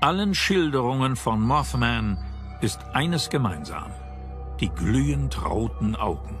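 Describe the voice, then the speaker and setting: A man's voice: medium pitch, lively intonation, a little slow, faint music behind. A man reading scripted narration as the narrator of a documentary.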